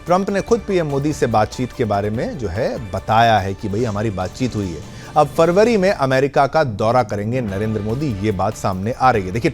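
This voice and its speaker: Male news anchor over background music. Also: medium pitch. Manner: explanatory, repeats and rephrases points for viewers. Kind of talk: news presentation with conversational explanations. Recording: music bed under the voice.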